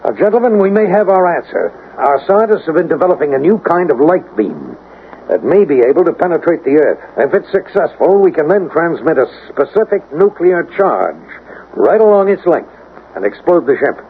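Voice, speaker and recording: A commanding American general in a radio drama, slightly high-voiced with swooping, lively intonation. He is firm, skeptical and brisk, giving orders with military authority over a thin old broadcast. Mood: commanding, confident. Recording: noisy, narrow-band old radio broadcast.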